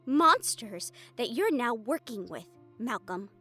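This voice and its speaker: An actress playing a sardonic, defiant young fledgling vampire in an audio roleplay drama, with a wry, sarcastic delivery. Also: female voice, a little high, sing-song, takes it slowly, pushed and bright, faint music behind, exaggerated voice. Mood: indignant.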